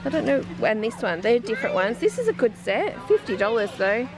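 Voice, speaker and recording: Chatty, excitable Australian woman vlogging. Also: fairly high pitch, music in the background. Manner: chatty, excitable, quick to laugh. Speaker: family vlogger. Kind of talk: casual vlog narration while shopping. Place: large warehouse store indoors. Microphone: handheld camera.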